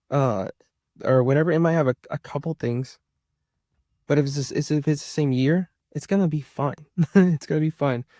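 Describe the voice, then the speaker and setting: A man's voice: a little high, dark tone, no room sound, clean studio sound. A man of about thirty chatting casually to his viewers as a vlogger, rambling and repeating himself for emphasis. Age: around 30. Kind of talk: casual personal chat to viewers.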